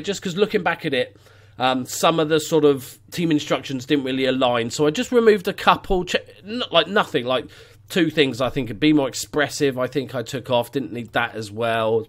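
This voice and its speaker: A man's voice: medium pitch, a bit bright. A man in his thirties with a cold and a stuffy voice, commentating casually on a game from his living room, sweary and self-mocking. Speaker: let's play host. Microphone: studio mic on stand, headphones.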